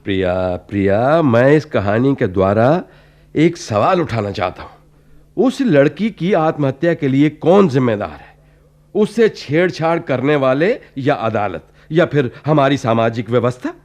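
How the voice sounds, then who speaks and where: An actor playing a self-assured film director in a Hindi radio play, lofty, persuasive and expository, mixing in English phrases. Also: male voice, medium pitch, lively intonation, unhurried, darkish tone, lightly acted. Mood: earnest, persuasive.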